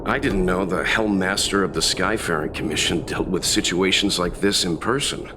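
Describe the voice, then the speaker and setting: A male actor playing a polite, reflective traveler with gentle dry humor in a video game. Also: sits low, moderate pace, noisy background, lightly acted. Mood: courteous. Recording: music behind the voice.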